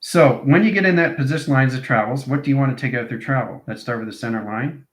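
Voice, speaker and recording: A man's voice: medium pitch, fast talker. A man giving an improvised esoteric lesson as an instructor, chanting out strings of technical-sounding phrases in an incantatory way. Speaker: instructor, channel presenter. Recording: echoey, reverberant room sound.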